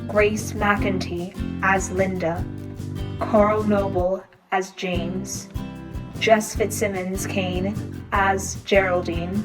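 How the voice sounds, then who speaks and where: A woman playing an impersonal announcer, reading scripted lines in a measured, deadpan way. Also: mid-range voice, over music, poor audio. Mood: neutral credits reading. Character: flat, impersonal answering-machine announcer.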